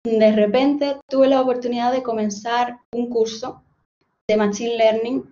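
A woman with a Cuban Spanish accent presents a seminar over a video call, her voice pitched a little high but mellow and soft. She speaks in a friendly, personal way as she tells her own story. The sound is dull and lo-fi. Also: steady tone, a little slow. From Cuba. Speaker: guest lecturer, postdoctoral researcher. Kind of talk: online seminar talk. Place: home.

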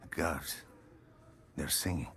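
An actor playing a menacing, ambitious drug lord in a video game, hushed and gravelly, measured and philosophical in his disdain. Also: rumbling low, steady tone, full character voice. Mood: quiet contempt. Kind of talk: cinematic game acting.

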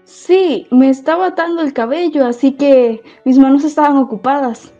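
A woman's voice: sits high, moderate pace, dark tone. A woman playing a flustered, evasive young heroine in an amateur comic fandub, hesitant and stammering. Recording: dull lo-fi audio under music.

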